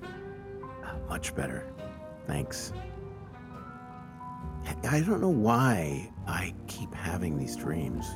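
An actor playing a stressed, affectionate man in an audio drama, talking in a halting, intimate, naturalistic way. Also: sits low, huge pitch swings, over music, heavily acted. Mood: hesitant. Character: stressed, affectionate man doting on his AI companion.

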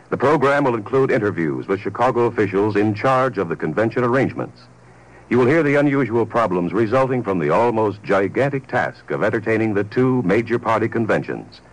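Animated low-voiced American radio announcer. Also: male voice, rough recording. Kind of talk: scripted 1950s radio promotional announcement.

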